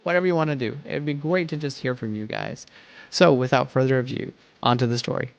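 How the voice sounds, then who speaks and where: A man hosting and narrating a children's story in an expressive, animated read-aloud style, addressing listeners directly. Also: mid-range voice, brisk, creaky, dull audio. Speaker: storytelling podcast host and narrator.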